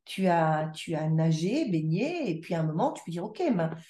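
Podcast guest: woman, low mellow voice, reflective, restarts often, roomy echo. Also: big pitch swings, moderate pace. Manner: reflective, many restarts and repetitions. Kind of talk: conversational interview.

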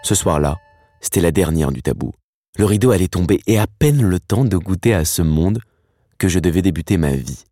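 A man. He narrates a scripted first-person story. His delivery is wry and slangy, broken by dramatic pauses. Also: sits low, expressive, mellow, no echo, clean studio sound. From Paris, France. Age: 30s. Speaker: narrator telling his own life story.

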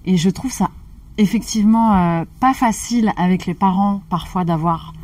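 A woman's voice: medium pitch, moderate pace, darkish tone, slight background noise. A woman of Algerian descent reflecting on her family history in a casual conversation. She sometimes searches for her words. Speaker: conversation partner. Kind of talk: casual chat.

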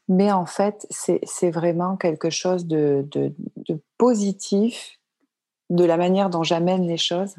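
A woman's voice: on the low side, warm tone, dry recording. A woman in her fifties from France, a coach answering interview questions earnestly, often repeating words and hesitating. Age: 50s. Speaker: coach, guest expert.

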